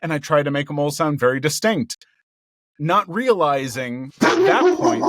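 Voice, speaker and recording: A male voice actor chatting in an interview. He is cheerful and excitable, lifts his voice into a higher register with a gravelly undertone, and laughs often. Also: dry recording, studio quality. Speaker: voice actor guest. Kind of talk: casual interview chat. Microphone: headphones and a home recording mic.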